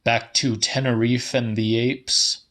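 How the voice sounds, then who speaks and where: A man's voice: medium pitch, level tone, takes it slowly, fairly bright tone. A man voicing a laconic, sardonic pupil in an audiobook, speaking tersely and dryly. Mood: dryly mocking.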